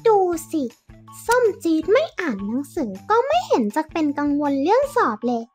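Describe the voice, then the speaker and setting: A woman's voice: high-pitched, big pitch swings, moderate pace, soft background music. A woman voicing a carefree, lazy little schoolgirl in a children's cartoon, with a childish, whiny, breezy manner. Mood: self-justifying. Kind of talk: children's cartoon story acting.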